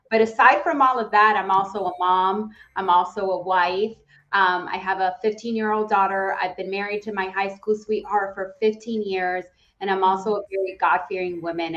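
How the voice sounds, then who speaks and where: An earnest woman in her thirties, raised in the Dominican Republic and a native Spanish speaker, telling her story in a quick, animated way. Her voice sits mid-range for a woman. The sound is a bit dull and lo-fi. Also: mid-range voice. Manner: earnest, fast and animated, with frequent "right?" and "um". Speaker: guest, real estate executive. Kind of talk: casual interview conversation.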